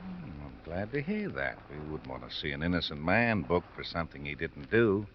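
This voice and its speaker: An American actor playing a stern, sardonic police lieutenant questioning people in a 1950s radio drama. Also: male voice, low-pitched, big pitch swings, normal speed. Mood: dry, pressing. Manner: sardonic, interrogating. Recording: muffled, hissy old radio recording.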